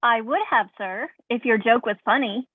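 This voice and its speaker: An actress playing a polite, dry-witted robot assistant in a staged sci-fi play, speaking formally and deadpan. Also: female voice, fairly high pitch, normal speed, breathy edge. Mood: deadpan teasing. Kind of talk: staged reading of a science fiction play. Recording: thin telephone-like sound.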